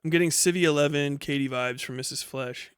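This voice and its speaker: A man's voice: fairly high pitch, fairly quick, fairly bright tone, clear, resonant tone, no room sound, studio quality. A man in his thirties doing casual livestream commentary and reading chat in a blunt, sweary, incredulous way. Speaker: live streamer.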